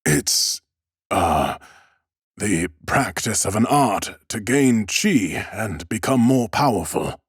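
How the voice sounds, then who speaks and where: A man's voice: lowish voice, expressive, slow pace, slightly rough, no echo, studio quality, exaggerated voice. A man voicing a timid, humble bookseller in an audiobook, speaking with hesitant, very polite deference. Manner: hesitant, bowing politeness, stammering. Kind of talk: audiobook character acting.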